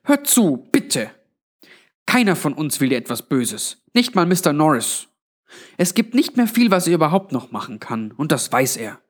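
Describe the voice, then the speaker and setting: A man voicing a kindly, anxious old grandmother with a hushed, pleading manner in a narrated story. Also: fairly high pitch, big pitch swings, moderate pace, voice pushed up. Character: kindly but anxious old granny, undead innkeeper.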